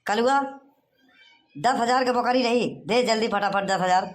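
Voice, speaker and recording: A man's voice: sits high, unhurried. A man voicing a blustering village husband in a cartoon, in a rustic Awadhi accent from eastern Uttar Pradesh. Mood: indignant. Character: blustering village husband searching for his lost goat. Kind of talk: cartoon dialogue in rustic dialect.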